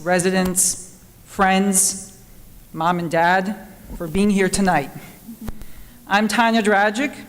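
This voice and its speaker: A middle-aged woman giving a prepared candidate speech at a school board forum in a cafeteria, delivered steadily. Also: lowish voice. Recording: hall reverb.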